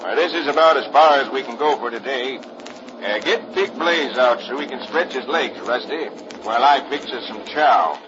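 A man's voice: medium pitch, moderate pace, lo-fi sound, noisy background, natural voice. A man voicing a folksy grown cowboy truck driver with drawling slang, on an old radio recording. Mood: friendly.

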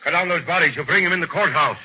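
Brash Mexican bandit boss, a man putting it on, gruff and commanding, old tinny radio play. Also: fairly high pitch, quick pace, pitched above the performer's own voice. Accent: put-on Mexican. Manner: commanding, gruff barking orders. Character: brash middle-aged male Mexican bandit chief. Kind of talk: 1930s radio acting.

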